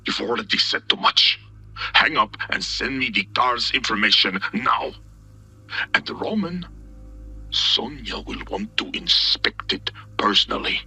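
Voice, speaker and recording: A man's voice: medium pitch, sharp tone, slightly rough, exaggerated voice, rough recording. A man playing a stern, menacing crime-family fixer in an audio drama, speaking in a clipped, formal, condescending way.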